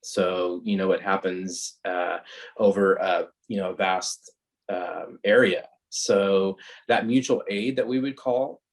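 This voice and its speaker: Low-pitched man explaining informally. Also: steady tone, creaky. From California, United States. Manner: conversational, halting, rambling. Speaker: fire department captain, guest speaker. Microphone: video call.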